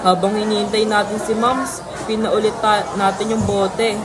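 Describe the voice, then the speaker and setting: Woman acting a casual, defensive high school student. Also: steady tone, normal speed, background noise, natural voice. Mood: impatient. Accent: Filipino. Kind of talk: amateur school radio drama acting. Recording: crowd chatter behind the voice.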